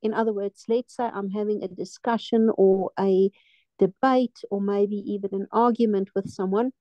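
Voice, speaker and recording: Lady on a video call, mellow voice, dull muffled audio, thinks as she talks. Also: medium pitch, steady tone, normal speed, no room sound. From South Africa. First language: Afrikaans. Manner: thoughtful, searching for words. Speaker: meeting participant. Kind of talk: casual video call chat. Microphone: webcam video call.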